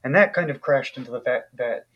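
A man's voice: medium pitch, fairly quick, highs cut off. A man from New Zealand with a New Zealand accent, an author talking casually in an interview, thoughtful and full of fillers and restarts.

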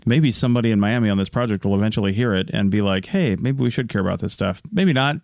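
A man's voice: fairly low pitch, quick pace, vocal fry, a little mellow, dry recording, studio quality. A man co-hosting a podcast, easygoing in chat and reading the show outro. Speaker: podcast co-host.

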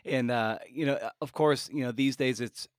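Male podcast host, average pitch, very dry recording, casual and halting as he thinks aloud. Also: steady tone, clear, resonant tone, dry recording. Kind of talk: casual conversational interviewing.

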